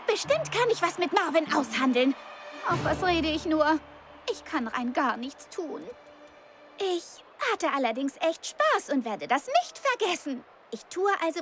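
A woman's voice: extremely high pitch, exaggerated voice. A woman playing a spirited, kind-hearted young girl in a German-dubbed children's cartoon, earnest and exclamatory. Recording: loud background music.

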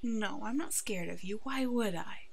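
A woman voicing a brave, protective werewolf in an ASMR roleplay, soothing and halting, with a soft, hushed delivery. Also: medium pitch, gravelly, husky. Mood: tender, protective.

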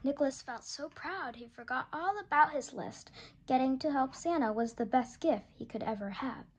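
A woman reading a children's picture book aloud, gentle and expressive, slowing down for the story and putting on small voices for the animals. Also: high-pitched, slightly rough. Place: indoors at a table, turning a picture book.

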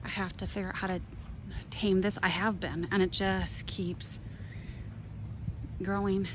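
A woman's voice: medium pitch, little pitch movement, measured pace. A woman chatting casually and off the cuff as she gives a garden tour, wandering between exclamatory asides. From Minnesota, United States. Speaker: gardening vlogger. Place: outdoors in a garden. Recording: noisy outdoor handheld recording. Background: clear outdoor ambient noise.

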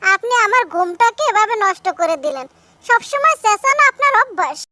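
A woman's voice: very high-pitched, very animated, a little fast, pushed and bright, no room sound, full character voice. A woman voicing an aggrieved, defiant young daughter-in-law in a cartoon, complaining and answering back. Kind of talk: cartoon dub acting.

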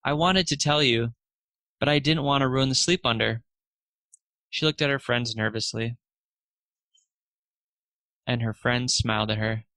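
A man reads a children's storybook aloud in a bright, forward voice. His delivery is expressive, lifting for the characters' lines. The sound is dry, with very little room around it. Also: mid-range voice, measured pace, pauses often, no room sound. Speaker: narrator reading a children's story.

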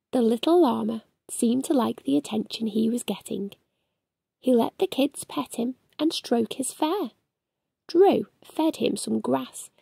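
A middle-aged woman hosting a children's storytime, reading aloud in an expressive, sing-song way. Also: on the high side, gravelly, slightly rough, dry recording, clean studio sound. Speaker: children's storytime host and reader. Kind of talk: animated read-aloud for young children. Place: small furnished room at home.

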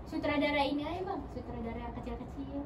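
A young woman in her twenties, playful and giggly, hosting a casual joking chat while sitting on a floor. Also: high-pitched. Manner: playful, giggly, quick banter. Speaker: host. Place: small room with foam panels, sitting on floor. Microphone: far camera. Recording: echoey room from far off, noisy.

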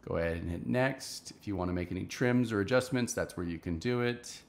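A man's voice: low-pitched, fairly quick, gravelly. A man in his thirties hosting a casual screen-share marketing tutorial, talking step by step in an instructional way from a small home office.

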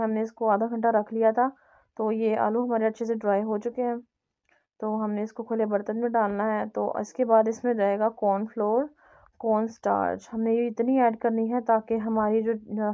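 A woman's voice: fairly high pitch, flat delivery, moderate pace. A woman narrating a home recipe as host, explaining step by step and repeating her instructions. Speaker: home cooking channel host. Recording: dull, lo-fi home recording.

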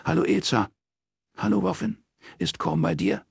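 A man voicing a distraught woman in an audiobook, crying and stammering haltingly through a phone call. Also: medium pitch, wildly swooping pitch, gravelly, husky, no echo, studio quality, pitched above the performer's own voice, heavily acted. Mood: toneless, strained.

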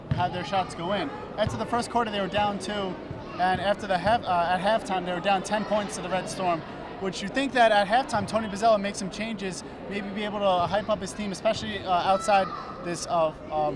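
A young man co-hosting a postgame basketball recap courtside, quoting stats and asking follow-up questions into a handheld mic. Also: sits high. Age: young adult. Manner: stat-heavy, asks follow-up questions. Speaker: student sports co-host. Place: courtside in a large indoor arena.

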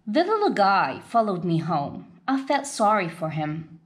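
Woman, children's story reader, expressive read-aloud, lively intonation, medium pitch. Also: measured pace.

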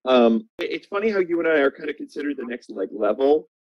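A man's voice: fairly high pitch, very animated, fast, no echo. A man in his thirties chatting casually as an interview guest over a video call, with slightly dull sound. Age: 30s. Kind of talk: casual chat. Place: small plain room indoors, video call.

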